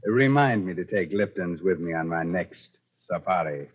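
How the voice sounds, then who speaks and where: A man hosting a 1940s radio horror show in a theatrical, drawn-out, sardonic manner full of macabre wordplay. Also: sits low, expressive, very noisy. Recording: muffled 1940s radio broadcast.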